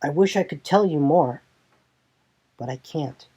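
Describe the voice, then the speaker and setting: A man's voice: on the high side, a little slow, mellow, breathy edge, voice pushed up. A man voicing an earnest, anxious teenage boy hero in an audio drama. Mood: defensive, pleading. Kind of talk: audio drama character acting. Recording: music bed behind the voice.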